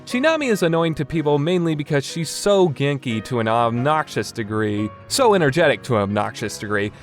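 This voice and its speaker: A man's voice: on the high side, sing-song, fairly quick. A man delivering a semi-scripted review commentary in a conversational, opinionated way, like a podcast host. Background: background music.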